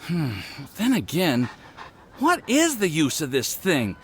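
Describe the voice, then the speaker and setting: A man's voice: a little high, huge pitch swings, slow pace, a bit bright, breathy edge, full character voice. A man playing a nervous, insecure young alchemist in a video game, dramatic and prone to stammering. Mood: dejected. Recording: faint music behind the voice.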